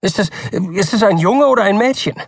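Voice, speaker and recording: A man's voice: high voice, lively intonation, a little fast, slightly rough, no echo, clean studio sound, voice pushed up, heavily acted. A man voicing a nervous young expectant father who fires off breathless, pleading questions. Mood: frantic.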